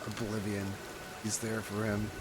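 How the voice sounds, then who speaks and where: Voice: mid-range voice, monotone, a little slow, very noisy. A streamer giving casual, emotional reaction commentary over a game, given to exclamations.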